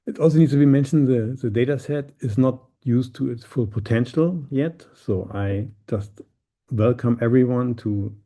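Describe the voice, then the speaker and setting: A middle-aged man with a background presents science in a very dark, mellow voice. There is a lot of fry and husk in it. He speaks haltingly, often doubling back on his words as he explains. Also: mid-range voice. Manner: halting, searching for words, repeating himself.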